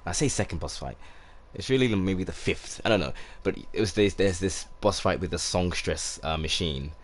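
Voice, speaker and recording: Deep-voiced, soft-spoken young man. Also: normal speed. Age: 20s. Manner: soft-spoken, relaxed, rambling with many fillers. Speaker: game streamer. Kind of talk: casual gameplay commentary. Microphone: condenser microphone. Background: game music.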